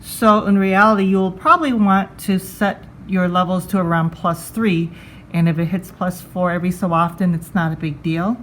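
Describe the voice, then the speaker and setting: Woman reviewer with a slightly low voice. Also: steady tone, normal speed, a bit bright, slight background noise, low-quality recording. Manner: conversational, thinking aloud. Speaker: product reviewer. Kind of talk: casual hands-on review commentary.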